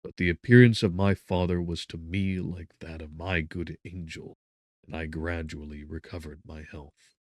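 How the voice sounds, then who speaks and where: A man's voice: deep voice, fairly even intonation, normal speed, no echo, clean studio sound. A man narrating a gothic audiobook in a solemn, theatrical manner. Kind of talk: dramatic scripted narration of gothic novel.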